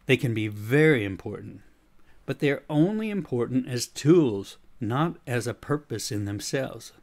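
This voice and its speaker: Measured American man, slight Swedish tinge. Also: medium pitch, darkish tone. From United States. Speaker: leadership coach. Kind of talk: scripted talk on change.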